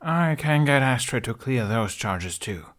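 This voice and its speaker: A man's voice: medium pitch, expressive, unhurried, a bit bright, pitched above the performer's own voice. A man voicing a sensible, cautious young man with a dry, reassuring manner in an audiobook story. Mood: earnest, dry. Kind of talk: audiobook character voice.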